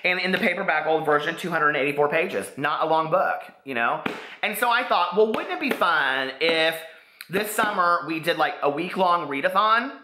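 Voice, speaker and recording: Bright, forceful American man chatting excitedly. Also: a little high, normal speed. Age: 40s. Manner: excitable, rambling, peppers talk with "like". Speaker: book vlogger. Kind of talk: casual chatty vlog to camera. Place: living room, indoors. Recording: echoey living room.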